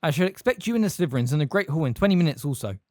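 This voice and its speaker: A reader voicing a stern older woman who gives sharp, scolding commands. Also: medium pitch, expressive, racing, dry recording, clean studio sound, voice pushed up, close to an everyday voice.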